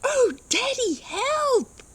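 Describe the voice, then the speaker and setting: A woman's voice: very high-pitched, very animated, drawn-out words, fairly bright tone, voice pushed up, full character voice. A woman voicing a frightened little mole child in a storybook, crying out plaintively for help.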